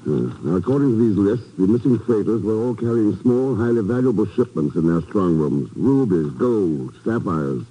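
An actor playing a calm, dry, matter-of-fact secret agent in a 1950s radio drama. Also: male voice, lowish voice, moderate pace, few pauses, slightly rough, muffled recording. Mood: businesslike.

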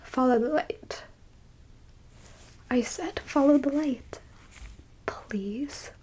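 A woman playing a haughty, curious alien examiner in a whispered ASMR roleplay, musing slowly and clinically with blunt asides. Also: sits high. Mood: clinically intrigued. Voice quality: soft, breathy whisper. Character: curious, easily disgusted, haughty alien abductor examining a human.

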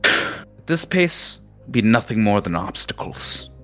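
A man's voice: on the low side, big pitch swings, slow pace, pitched above the performer's own voice, exaggerated voice. A man voicing an imperious male sea captain who scolds students with contempt, over faint music. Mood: scornful. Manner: scolding, shouted outbursts.

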